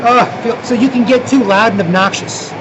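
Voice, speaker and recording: A man's voice: very high-pitched, normal speed, background noise. A Canadian man from Toronto vlogging outdoors, rambling, restarting often and tagging lines with "right?". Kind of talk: rambling street vlog monologue.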